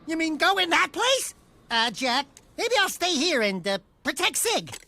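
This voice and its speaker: A small, cocky furry video game sidekick with a very high, bright voice. He swoops all over in pitch, brash and excitable, boasting and whining like a cartoon wisecracker. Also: measured pace, dry recording, exaggerated voice. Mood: nervous.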